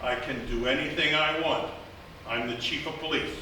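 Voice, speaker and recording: A cocky small-town lawman, played by a man, commanding and very bright, pitched a little high. It is live comic radio acting, picked up from a distance in a heavily echoing theatre. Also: fairly even intonation, moderate pace. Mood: confident, scheming. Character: cocky, take-charge small-town police chief. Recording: echoey live theatre from far off, faint noise.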